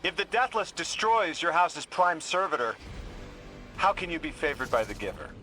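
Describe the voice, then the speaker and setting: A man's voice: fairly high pitch, lively intonation, normal speed, sharp tone, faint music behind, rough recording. An actor playing a cocky, driven young male intelligence operative in a video game, urgent and confident. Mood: taunting. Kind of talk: video game dialogue acting.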